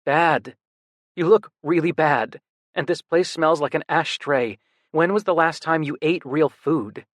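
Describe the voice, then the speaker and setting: A panicked young man pleads with his brother, voice pushed up higher and louder, swearing when he gets upset, as the narrator puts him on. The audiobook read is dry and close, with no room around it. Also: measured pace, no room sound, natural voice. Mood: fierce insistent.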